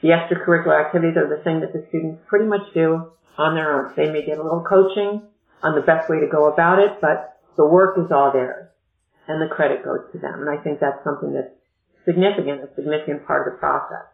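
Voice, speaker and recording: A woman educational consultant explaining college admissions in a measured, explanatory way during a podcast interview. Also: low voice, fairly even intonation, gravelly. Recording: echoey, tinny phone-like line.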